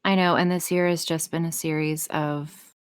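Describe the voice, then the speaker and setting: Female podcast guest, slightly low voice, candid, sweary, self-mocking casual talk. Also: flat delivery, normal speed, clear, resonant tone. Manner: self-deprecating, swears freely. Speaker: podcast guest, audio creator.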